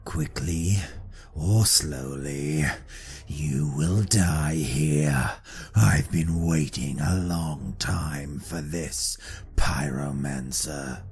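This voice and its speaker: An actor playing a cruel, scarred mage hunter in an audio drama, with a raspy voice and a contemptuous, menacing manner. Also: male voice, a bass voice, drawn-out words, full character voice. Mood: cold menace. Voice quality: gravelly, raspy.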